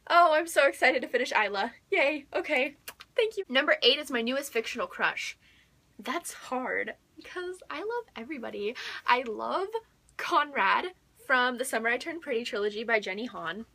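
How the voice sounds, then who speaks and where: Young American female book vlogger; high-pitched, bright, excitable and chatty, with emphatic repetition. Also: normal speed. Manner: gushing, emphatic, repeats words for emphasis. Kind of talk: casual excited chat to viewers.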